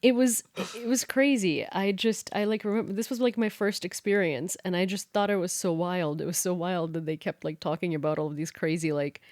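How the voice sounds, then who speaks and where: Animated young woman storyteller. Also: fairly low pitch, a little fast, hardly any pauses, no echo, clean studio sound. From Ukraine. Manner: animated storytelling, lots of "like" and laughing. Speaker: podcast co-host. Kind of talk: casual chat.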